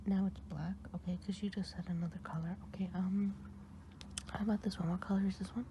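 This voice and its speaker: A woman's voice: mid-range voice, steady tone, fast talker, slight background noise, close to an everyday voice. A woman whispering as a kindly witch healer. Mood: soft whispering. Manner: soft whisper, patient questioning. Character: kindly woman witch healing a hexed patient. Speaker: ASMR performer. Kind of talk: ASMR roleplay.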